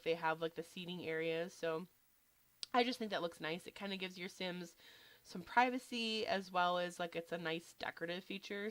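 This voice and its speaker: A woman with a slight cold, a little nasal, casually narrating a game build in a relaxed, chatty way with lots of fillers. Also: fairly low pitch, no room sound. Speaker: game building video creator.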